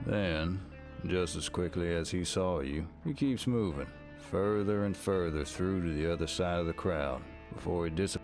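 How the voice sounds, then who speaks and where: A man's voice: low voice, normal speed, music in the background. A man narrating a scripted second-person story for a visual novel game.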